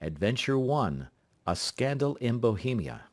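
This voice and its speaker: A man narrating a literary audiobook in a measured, formal delivery. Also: mid-range voice, big pitch swings.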